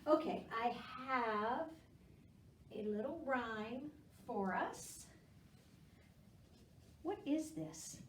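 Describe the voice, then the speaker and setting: Casting: female children's storytime host, expressive and coaxing, very varied pitch, roomy distant pickup. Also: slight background noise. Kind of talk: children's storytime read-aloud and chat. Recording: echoey room, distant microphone.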